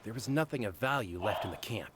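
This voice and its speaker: A man's voice: fairly low pitch, expressive, fast talker, background noise, close to an everyday voice. A man playing a forthright, boyish young mercenary in a fantasy game, speaking informally and expressively. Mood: matter-of-fact.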